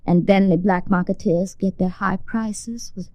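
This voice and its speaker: An actress playing a glamorous, earnest young woman resistance fighter in a 1940s radio drama, speaking with grave, deliberate formality. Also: mid-range voice, steady tone, a little mellow, no room sound, natural voice. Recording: restored 1940s radio recording.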